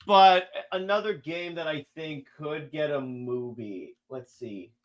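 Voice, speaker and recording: A man's voice: a little high, unhurried, highs cut off. A man co-hosting a podcast, talking casually about films and video games in an informal back-and-forth. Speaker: podcast co-host. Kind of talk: casual film and game discussion.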